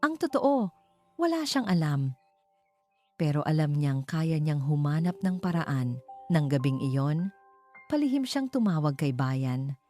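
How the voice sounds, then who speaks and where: A woman's voice: low-pitched, sing-song, measured pace, pauses often, dark tone, soft background music. A woman with a Filipino accent reading scripted narration of a romance story as its narrator.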